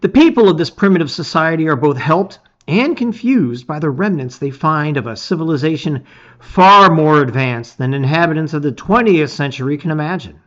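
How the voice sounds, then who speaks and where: A middle-aged man presenting and reading aloud with expressive emphasis, his phrases often trailing off softly. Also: fairly high pitch, moderate pace. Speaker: host reading a gamebook aloud. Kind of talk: casual intro and dramatic read-aloud of storybook narration. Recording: slightly dull, lo-fi sound.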